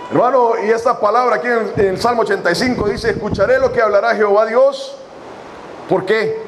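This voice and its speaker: High-voiced guy preaching, slow scripture reading, a bit of background hiss. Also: poor audio. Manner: preaching with long pauses, reading scripture slowly. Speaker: pastor. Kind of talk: sermon. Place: church hall.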